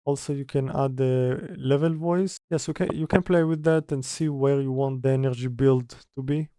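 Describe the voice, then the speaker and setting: A man giving a casual software tutorial, hesitant and thinking aloud as he works. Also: medium pitch, steady tone, moderate pace, no room sound. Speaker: tutorial presenter. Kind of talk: casual software tutorial, talking through steps.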